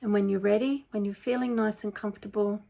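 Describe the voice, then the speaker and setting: Creaky-voiced woman guiding a meditation. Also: medium pitch, steady tone. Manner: gentle, unhurried, soothing, informal. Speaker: meditation guide, coach. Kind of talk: guided meditation, spoken softly to listeners.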